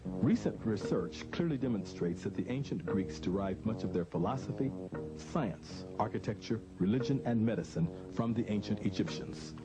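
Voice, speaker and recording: An American man, a host with a measured, formal way of narrating a scripted documentary and interviewing. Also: lowish voice, low-quality recording.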